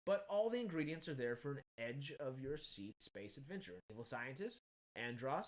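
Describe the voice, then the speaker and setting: A man in his thirties with a slight Texan accent, hosting casual film news commentary in an animated, rambling, emphatic way. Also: mid-range voice, poor audio. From Texas. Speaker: film news show host.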